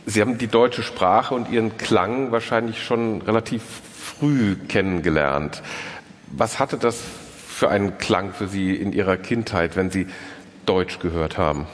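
A man moderating a formal interview, asking long, measured, polite questions. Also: lowish voice. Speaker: interviewer, event host. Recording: long hall reverb.

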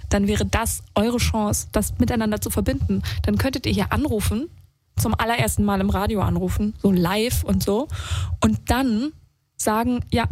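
A woman's voice: mid-range voice, darkish tone, dry recording. A woman from Saarland in Germany hosting a live radio call-in show in an informal, chatty, slangy way. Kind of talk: casual live phone-in chat.

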